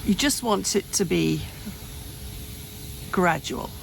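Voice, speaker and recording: Woman, 58, candid vlogger, raspy fry in the voice, rambling with restarts, noisy room sound. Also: lowish voice, expressive, slow. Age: 50s. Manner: rambling, frequent restarts and self-corrections. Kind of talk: candid personal vlog. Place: home, hot room.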